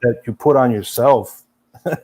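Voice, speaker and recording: A man's voice: medium pitch, unhurried, warm tone. A young man born in Serbia and raised in the US, speaking with no accent as an interview guest, earnest and full of fillers. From Serbia, raised in USA. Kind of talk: casual interview chat.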